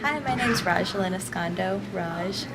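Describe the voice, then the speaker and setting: A young woman from the Philippines answering interview questions in a measured way, with some fillers. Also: mid-range voice. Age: young adult. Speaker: interviewee, student. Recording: loud outdoor background noise.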